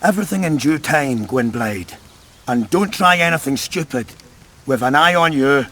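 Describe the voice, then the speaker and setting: A man's voice: on the high side, animated, normal speed, sharp tone. An actor playing a wary, defiant male rebel fighter in a video game, suspicious and commanding.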